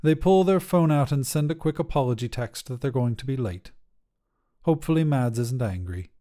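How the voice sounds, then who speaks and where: A man's voice: mid-range voice, animated, moderate pace, mellow. A man narrating a scripted short story as a podcast reader, with a dramatic delivery.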